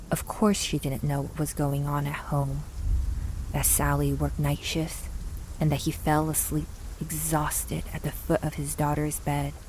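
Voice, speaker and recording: A woman narrating a scripted audio drama in a measured, suspenseful storytelling manner. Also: sits low, a little mellow, slight background noise, rough recording.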